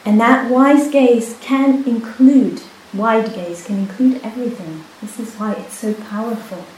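A woman whose first language is Hebrew, a meditation teacher telling a story in a calm, unhurried way with many pauses. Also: on the high side. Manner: soft-spoken, unhurried, with many pauses and restarts. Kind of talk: dharma talk with personal story. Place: retreat hall. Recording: echoey hall, faint hiss.

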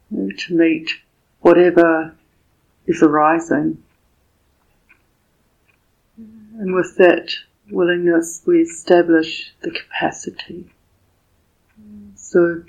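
Woman, meditation teacher, very unhurried, even and flat intonation, soft husky voice, gentle pauses, guided meditation. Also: on the low side, muffled recording. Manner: gentle, deliberate, with long pauses between short phrases.

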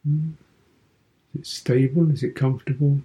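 A man guiding a meditation as a teacher, speaking contemplatively in short, sparse phrases with pauses and gentle questions. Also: medium pitch, steady tone, low-quality recording. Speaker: meditation teacher, Buddhist monk. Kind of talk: guided meditation.

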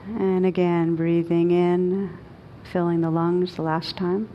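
A woman guides a meditation in a slightly low, mellow voice. Her delivery is gentle, soothing and unhurried, with very little rise and fall and long pauses between phrases. A faint rumbling noise sits under the recording. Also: little pitch movement, lo-fi sound. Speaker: meditation teacher leading a guided meditation.

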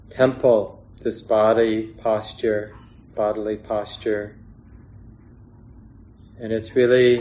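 A man teaching a guided meditation in a gentle, unhurried way, pausing between short phrases. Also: medium pitch, low-quality recording. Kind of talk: guided meditation instruction, unscripted.

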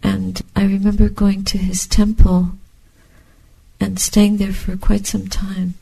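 Older female meditation teacher: hushed, very husky, gravelly voice, contemplative delivery in short, spaced phrases. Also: medium pitch, completely flat delivery, rough recording. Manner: measured, contemplative, short phrases with long pauses. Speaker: Buddhist nun and meditation teacher. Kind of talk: reflective dharma talk and guided meditation.

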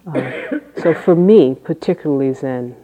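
A woman Zen teacher with a low voice, giving an unhurried dharma talk with halting phrases and long pauses. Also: dark tone, muffled recording.

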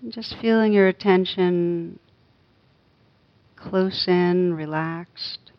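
Female meditation teacher, lowish voice, very slow and gentle delivery with lots of space between phrases, slightly muffled recording. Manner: gentle, unhurried, long pauses between soft phrases. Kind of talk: guided meditation.

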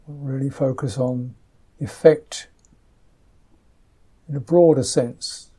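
A man teaching a guided meditation, speaking softly and gently in unhurried, halting phrases, with a slightly husky voice. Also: medium pitch, fairly even intonation.

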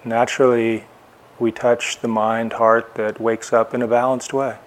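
Man guiding a slow, spacious meditation. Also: medium pitch, flat delivery. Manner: unhurried, spare phrases with long pauses. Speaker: meditation teacher. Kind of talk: guided meditation instruction.

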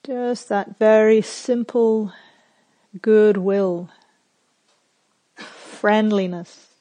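A woman meditation teacher leading a guided meditation, speaking gently in short phrases with long pauses between them. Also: mid-range voice, steady tone.

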